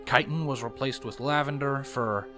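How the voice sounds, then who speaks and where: A man with a middle-pitched voice reads a story as its narrator. The delivery is scripted storytelling, with music playing softly behind his voice. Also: normal speed. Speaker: narrator reading fan fiction.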